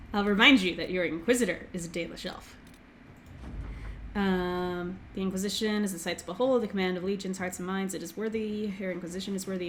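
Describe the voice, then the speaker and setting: A young woman hosting a game let's play, slightly hoarse as she recovers from a throat infection, chatting casually and reading game text aloud. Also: mid-range voice, fairly bright tone, slight background noise. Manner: reads game text aloud quickly, filler-heavy. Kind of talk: casual gameplay commentary.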